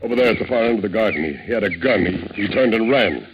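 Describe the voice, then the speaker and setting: A man's voice: lowish voice, animated, quick pace, dull audio, lightly acted. An actor playing an agitated male secretary, breathless and stammering, in a 1950s radio drama. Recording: very noisy, band-limited old radio recording.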